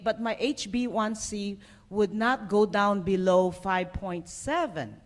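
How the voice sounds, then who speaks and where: A middle-aged woman from the Philippines speaks to a conference audience at an ordinary mid pitch. She talks about herself as a physical therapist, loose and conversational, with frequent "uh" and "you know". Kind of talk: personal story told to a live audience.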